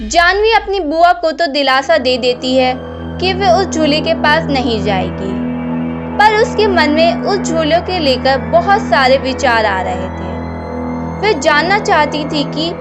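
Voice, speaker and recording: Slow, high-voiced woman storyteller. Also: sing-song. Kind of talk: scripted horror bedtime story narration. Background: loud music.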